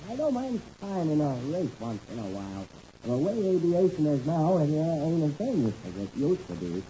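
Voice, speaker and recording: An actor playing a folksy, comic, grumbling airplane mechanic who talks hesitantly and rustically in a 1930s radio serial. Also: male voice, a little high, very animated, moderate pace, mellow. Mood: easygoing. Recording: muffled, noisy old radio recording.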